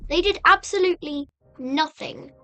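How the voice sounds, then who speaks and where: Woman, high-pitched bright voice, halting suspenseful storytelling, dramatic recap, repeated phrases. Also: muffled recording, faint music behind. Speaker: video narrator and host.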